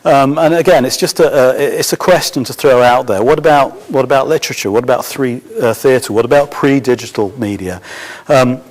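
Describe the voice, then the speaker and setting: A man giving a conference talk as a film school course leader, halting and full of fillers and restarts, his voice a little hoarse. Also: medium pitch. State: slightly hoarse.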